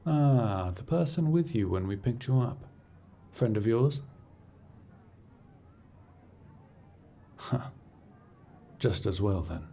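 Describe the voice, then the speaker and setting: A man playing a cool, imperious scholar-agent in a fantasy audio roleplay, speaking in a formal, measured, dryly condescending way. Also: on the low side, animated, creaky, warm tone, exaggerated voice. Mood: probing, calculating. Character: cool, imperious male scholar-agent serving an arcane archivist patron. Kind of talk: scripted audio roleplay acting, addressing the listener.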